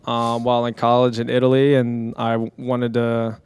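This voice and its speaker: A man's voice: medium pitch, completely flat delivery, normal speed, darkish tone, clear, resonant tone, no echo. A young man from the San Diego area, a DJ and producer, talking casually on a podcast in a rambling, laid-back way with many fillers. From San Diego area, California. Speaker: guest DJ and producer.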